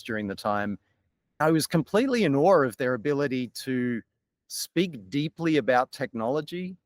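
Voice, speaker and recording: A middle-aged man with an Australian accent tells his story as a podcast guest, measured and articulate. His voice sits at a middle pitch. The sound is dry and close, with no room around it. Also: no echo. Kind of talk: podcast interview.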